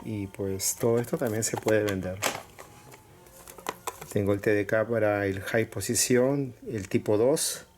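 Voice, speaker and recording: A man casually presenting items to viewers in short, halting phrases with pauses. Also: fairly low pitch, steady tone. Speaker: collector showing cassettes for sale. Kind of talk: casual product showcase. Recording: clear background noise with clicks.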